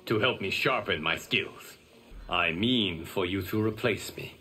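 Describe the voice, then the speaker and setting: An actor voicing a calm, noble lord and mentor in a video game, speaking with formal assurance. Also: male voice, low-pitched, unhurried, a little hiss or hum, exaggerated voice. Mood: resolute.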